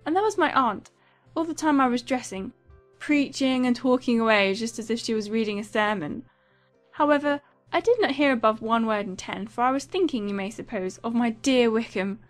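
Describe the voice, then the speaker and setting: A woman's voice: on the high side, normal speed, soft background music, close to an everyday voice. A woman playing a giddy, chattering young newlywed in a dramatized audiobook, gushing and rambling with thoughtless self-absorption. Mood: gossipy, aggrieved. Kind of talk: dramatized audiobook character acting.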